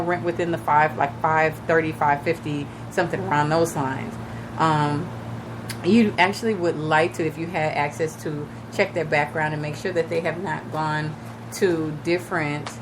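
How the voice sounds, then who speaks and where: A woman radio host with a raspy, slightly shaky voice from recently losing it, chatting casually and advising listeners directly. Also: deep voice, background noise. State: recovering from lost voice, a little shaky. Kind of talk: casual radio talk on real estate. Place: radio studio.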